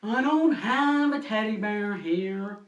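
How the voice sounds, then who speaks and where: A woman voicing a plaintive little boy in a storybook puppet show, protesting in a drawn-out whine. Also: mid-range voice, dull audio, lightly acted. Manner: slow, drawn-out whining protest. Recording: roomy, distant mic, faint noise.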